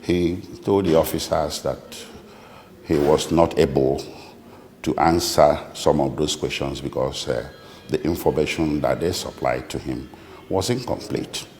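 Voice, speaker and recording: A man with a Nigerian accent answering interview questions as a lawyer, speaking deliberately and haltingly with precise detail. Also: extremely low pitch, a little mellow, a little hiss or hum. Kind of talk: interview answers recounting a client's account. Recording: echoey room with long reverb.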